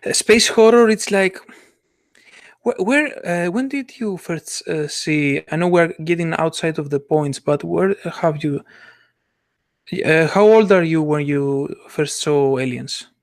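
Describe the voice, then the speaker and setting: Male interviewer, non-native English speaker, slightly high pitch, dry recording, halting delivery. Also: dry recording. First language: not English. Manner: hesitant, searching for words. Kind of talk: casual interview.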